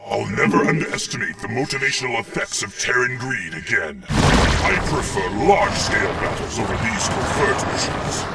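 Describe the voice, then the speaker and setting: An actor playing a proud, ancient alien warrior in a video game, speaking in a formal, grandiose way. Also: male voice, on the low side, vocal fry, far from the mic. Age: older. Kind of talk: dramatic video game acting. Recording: heavy game noise behind voice.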